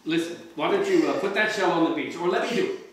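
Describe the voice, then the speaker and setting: A man's voice: a little high, fast, natural voice. A middle-aged man in a staged play reading, playing a kindly, hesitant father. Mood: gentle concern. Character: kindly, hesitant tourist dad, a lawyer. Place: wood-panelled mansion room, indoors. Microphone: distant camera microphone. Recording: very echoey room, distant mic, faint hiss.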